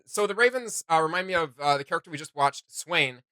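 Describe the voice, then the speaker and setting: A man's voice: fairly high pitch, animated, brisk, pushed and bright, clean tone, no echo. A man hosting a reaction channel, giving casual, enthusiastic commentary and often thinking aloud as he goes.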